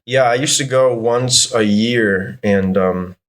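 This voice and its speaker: A young man who grew up speaking Spanish, talking at an ordinary mid pitch. He chats in a loose, rambling way, piling on fillers and casual swearing as a podcast guest. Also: takes it slowly, fairly bright tone. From Argentina. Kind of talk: casual interview chat.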